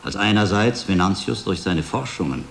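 Deep-voiced, learned monk investigator. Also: male voice, creaky, soft background music. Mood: knowing, triumphant. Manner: deliberate, insistent, erudite, articulate. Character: shrewd, learned middle-aged monk investigator, William of Baskerville. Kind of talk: German radio drama acting. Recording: long hall reverb, background noise.

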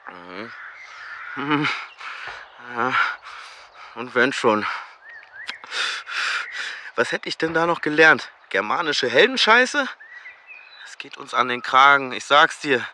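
An actor playing a cynical, war-weary young German soldier in a radio drama, blunt and scornful. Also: male voice, mid-range voice, big pitch swings, takes it slowly, long pauses, pushed and bright, a little hiss or hum, heavily acted. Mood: scornful, defiant.

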